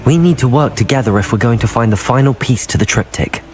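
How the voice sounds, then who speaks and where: A young man playing a cautious, level-headed teenage wizard student in a video game, earnest and measured. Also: mid-range voice, faint music behind, natural voice. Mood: firm, pleading.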